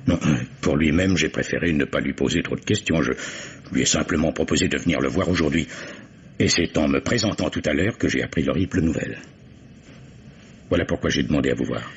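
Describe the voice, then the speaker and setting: An actor playing a grave, formal older gentleman publisher in a radio mystery, speaking in deliberate, literary phrases. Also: full character voice. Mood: formal, reserved. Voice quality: very deep, husky. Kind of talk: French radio mystery drama acting. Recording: dull old radio recording.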